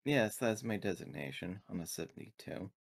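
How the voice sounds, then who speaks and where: A man's voice: low-pitched, lively intonation, creaky, heavily acted. A man voicing a defiant, dutiful female android who speaks formally and firmly in a tabletop game. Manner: formal, firm, deliberate wording.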